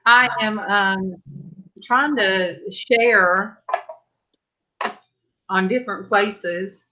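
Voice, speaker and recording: A woman's voice: medium pitch, extremely slow, sharp tone, highs cut off. A middle-aged woman, hosting an online church panel in a folksy, chatty way over a video call. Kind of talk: casual chat on a livestream.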